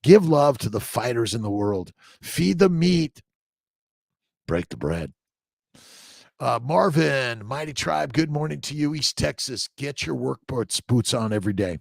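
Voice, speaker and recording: A man's voice: mid-range voice, animated, unhurried, gravelly, no room sound, clean studio sound. An American man hosting an informal motivational livestream, exhorting listeners in short repeated phrases and loose asides. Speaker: host, fertility doctor. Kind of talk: informal morning livestream, motivational chat with read-aloud passages.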